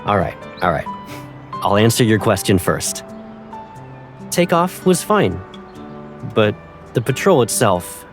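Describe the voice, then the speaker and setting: A man's voice: fairly low pitch, very animated, slow, long pauses, heavily acted. A man playing a young pilot in an audio drama, playful and protective, teasing a childhood friend with affection. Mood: mock storytelling. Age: young adult. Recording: faint music behind the voice.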